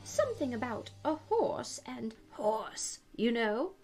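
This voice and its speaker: A woman voicing a tiny, sad insect with a very small, high voice in a storybook reading. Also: big pitch swings, slow, darkish tone, soft background music, full character voice. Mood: teasing.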